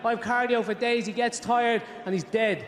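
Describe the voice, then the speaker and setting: A man's voice: very high voice, steady tone, normal speed. An Irish man, a fighter, joking and talking trash with cocky confidence. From Ireland. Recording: echoey hall, faint noise.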